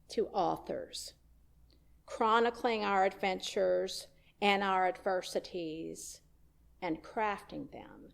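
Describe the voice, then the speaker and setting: Slow, reflective woman preaching. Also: medium pitch, gravelly. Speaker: minister. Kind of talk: sermon. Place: church sanctuary.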